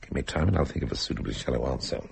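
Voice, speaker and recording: A man's voice: a bass voice, lively intonation, racing, gravelly, darkish tone, a little hiss or hum, full character voice. An actor playing a jaded, self-mocking man who muses wryly and articulately, in the style of a radio play. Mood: wry musing. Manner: wry, languid, articulate musing. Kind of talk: dramatic radio play acting.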